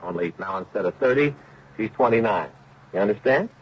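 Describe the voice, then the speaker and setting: A man acting an earnest, fretful married police officer in a 1950s radio crime drama, with a husky voice and a homely, repetitive way of talking. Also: lowish voice, expressive, brisk. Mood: earnest complaining. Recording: muffled old radio recording.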